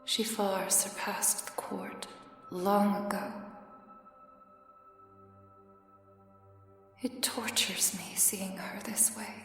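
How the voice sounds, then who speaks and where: Woman acting a very slow, solemn mystical mentor. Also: mid-range voice, lightly acted. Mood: sorrowful, grave. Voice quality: breathy, half-whispered. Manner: solemn, slow, measured, archaic formal wording. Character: grieving mystical elder mentor, solemn storyteller of dark magic. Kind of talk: scripted ASMR roleplay monologue. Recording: very echoey hall reverb, music underneath. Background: music.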